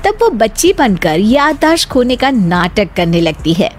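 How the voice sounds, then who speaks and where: A woman reads a scripted cartoon story in a lively narrating voice. Her pitch is high and moves a lot. Gentle music runs underneath. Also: a little slow. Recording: faint music behind.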